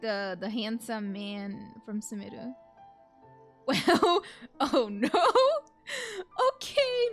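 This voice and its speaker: A young woman giving casual, rambling and expressive commentary as she plays a video game. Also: a little high. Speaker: gaming streamer. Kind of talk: casual let's play commentary.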